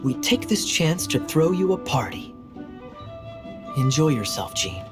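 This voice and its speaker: Casting: playful confident male knight, hugely animated intonation, theatrical game delivery, music behind. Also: on the high side, unhurried. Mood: warm, playful. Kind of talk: animated video game voice acting.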